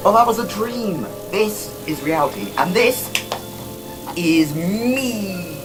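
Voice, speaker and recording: A man's voice: high-pitched, animated, slow pace, music in the background, rough recording. A man in a fan audio drama playing a dizzy, irritable, newly regenerated alien time traveller with an erratic manner. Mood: brisk, defensive. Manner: erratic, exclamatory.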